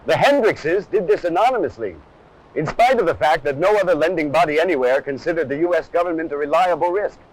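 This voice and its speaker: A man's voice: on the high side, expressive, fast talker, rough recording. A man giving scripted, dramatic documentary narration on a harsh, over-modulated old film soundtrack. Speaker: presenter of an army training film.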